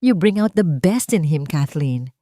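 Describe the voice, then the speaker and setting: A woman voicing a charming, talkative young man who greets a newcomer warmly and mixes English into his talk. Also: low-pitched, lively intonation, measured pace, no echo, clean studio sound. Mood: cheerful.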